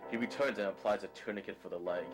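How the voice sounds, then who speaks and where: A man with a fairly low voice serves as narrator of a scripted drama, describing the action in the present tense. Music is clearly heard behind him. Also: fast talker.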